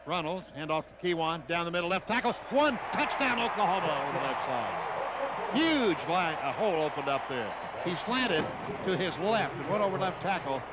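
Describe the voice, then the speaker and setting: High-voiced American football play-by-play man, noisy broadcast. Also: animated, moderate pace. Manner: clipped, fragmentary phrasing. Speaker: radio play-by-play announcer. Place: outdoor football stadium broadcast. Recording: noisy stadium radio broadcast.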